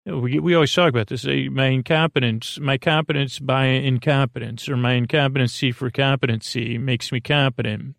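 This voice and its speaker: A man with a creaky, gravelly voice hosting a sleep podcast in a meandering, halting, goofy monologue that keeps interrupting itself. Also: medium pitch, fairly even intonation, a bit bright, no echo, studio quality. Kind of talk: rambling bedtime monologue.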